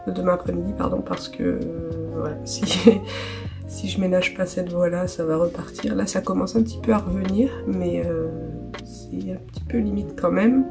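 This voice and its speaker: A woman in her thirties from France, hoarse with a cold, talking softly and casually in her art studio vlog, with many fillers. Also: on the low side, fairly even intonation, normal speed. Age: 30s. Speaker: illustrator. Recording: music playing behind.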